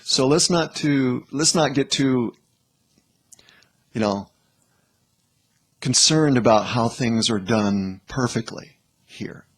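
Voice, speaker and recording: Male host, unhurried and husky, explaining informally in halting phrases, checking in often. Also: medium pitch. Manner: halting, broken phrasing with frequent check-ins.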